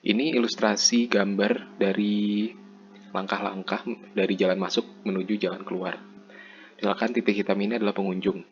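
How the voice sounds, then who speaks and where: A young man from Indonesia giving an explanatory student slide presentation in an informal, step-by-step way. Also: fairly low pitch. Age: young adult. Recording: muffled lo-fi mic with background noise.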